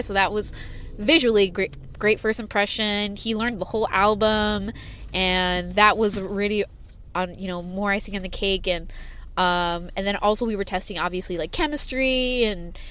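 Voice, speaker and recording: A woman's voice: mid-range voice, moderate pace, a bit bright, clean tone. A woman who grew up with sign language as her first language, chatting as a band's singer-songwriter in a parked car, rambling with many fillers and laughs. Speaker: band singer-songwriter, guest. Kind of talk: casual interview chat.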